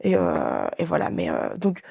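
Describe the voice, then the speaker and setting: A woman's voice: very deep voice, huge pitch swings, a little slow, vocal fry, dark tone, slightly rough, highs cut off. A woman, chatting casually and telling anecdotes as an interview guest, talkative and full of slang and quoted dialogue. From Belgium. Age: 20s. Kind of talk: casual chat, anecdotal storytelling.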